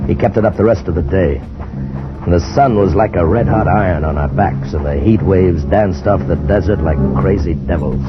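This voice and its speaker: Man acting a very deep-voiced radio adventurer narrator. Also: moderate pace, natural voice. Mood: grim, dramatic. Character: earnest male adventurer telling his tale. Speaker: first-person narrator and character. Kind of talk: dramatic scripted narration, 1950s radio. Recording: dull old radio recording, loud music.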